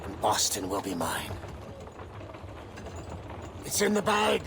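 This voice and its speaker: A cackling gloating villain officer. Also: male voice, lowish voice, huge pitch swings, slow, gravelly, exaggerated voice. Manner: taunting, mocking laughs. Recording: game cutscene with loud background noise.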